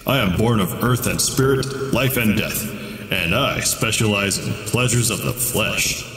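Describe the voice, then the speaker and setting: A man's voice: lowish voice, measured pace, fairly bright tone. A man playing an arrogant, cheeky demon prince of lust in a theatrical audio drama. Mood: boastful, sultry. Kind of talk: audio fiction podcast acting. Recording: echoing cave reverb with music behind.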